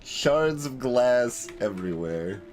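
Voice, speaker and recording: A man's voice: mid-range voice, animated, takes it slowly, darkish tone. A man hosting a let's play with casual gameplay commentary, thinking aloud and often hesitating as he muses. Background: game music.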